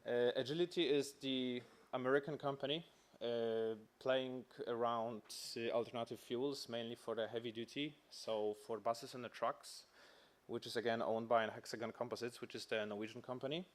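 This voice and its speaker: A man with a Polish accent delivers a corporate presentation at a conference. His voice is medium in pitch, and his non-native phrasing comes haltingly, with restarts along the way. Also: fairly even intonation. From Poland. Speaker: company representative presenting.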